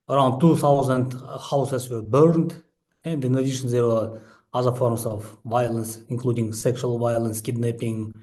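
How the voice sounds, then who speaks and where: A man who is not a native English speaker, giving an academic presentation as a researcher, halting and full of hesitations. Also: mid-range voice, dark tone. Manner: halting, frequent hesitations and pauses. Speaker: researcher and book author presenting his study.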